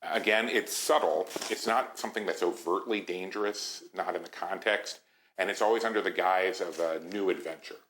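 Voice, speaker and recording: A faintly noisy tape carries a serious, scholarly man with a lowish voice. He is a worried occult investigator in a mystery game, choosing his words deliberately. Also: fairly bright tone. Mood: grave, concerned. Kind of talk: naturalistic found-footage game voice acting.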